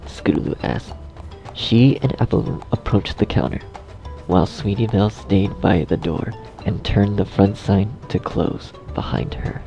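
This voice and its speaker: Low, gravelly male story narrator. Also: unhurried, warm tone, rough recording. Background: faint music.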